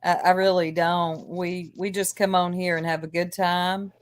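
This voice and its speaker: A woman's voice: on the low side, flat delivery, moderate pace. A woman co-hosting a casual group chat show, talking in an easygoing, talkative way. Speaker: podcast co-host.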